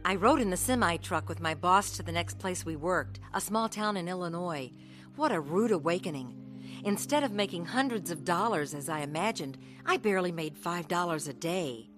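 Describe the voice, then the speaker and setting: A woman's voice: fairly low pitch, expressive, moderate pace, soft background music, lightly acted. An actress playing a young woman carnival worker in a radio drama, telling her hard life in an earnest, dramatic way. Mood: reflective, earnest. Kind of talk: radio drama narration and dialogue.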